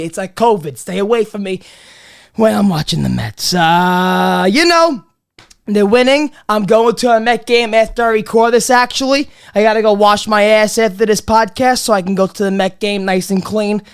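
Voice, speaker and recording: A man's voice: sits high, normal speed, clean tone. Rambling, foul-mouthed man losing his voice. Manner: rambling, profane, many restarts and repeats. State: losing his voice, a bit under the weather. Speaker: podcast host. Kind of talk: casual comedy podcast monologue.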